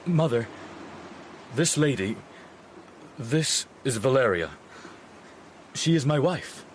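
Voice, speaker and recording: An actor playing an evasive Victorian bridegroom in a radio drama, theatrical and dismissive, with brittle forced lightness and a British accent. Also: male voice, medium pitch, lively intonation, slow pace, a little hiss or hum. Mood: strained, formal.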